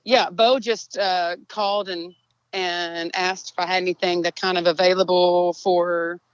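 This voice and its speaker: Texan woman, low voice, laid-back rambling talker, dry muffled recording. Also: a little slow, clear, resonant tone, no room sound. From Texas. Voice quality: low singing voice. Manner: relaxed, rambling with many fillers. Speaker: songwriter guest. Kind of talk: casual interview chat.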